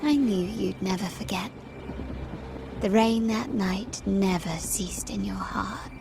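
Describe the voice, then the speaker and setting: An actress playing a taunting doppelganger in a video game, with a theatrical, teasing, mocking manner. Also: female voice, medium pitch, takes it slowly, creaky, noisy background. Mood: gloating. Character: taunting female doppelganger born of a noblewoman's memories.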